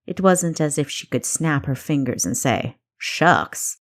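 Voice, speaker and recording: A woman's voice: deep voice, moderate pace, darkish tone. A woman reading scripted fiction as the narrator of an audiobook. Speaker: author narrating own audiobook.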